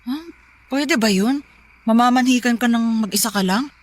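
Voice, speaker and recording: Woman acting sharp-tongued young girlfriend. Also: on the high side, normal speed, natural voice. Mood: amused, teasing. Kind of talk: Tagalog radio drama acting.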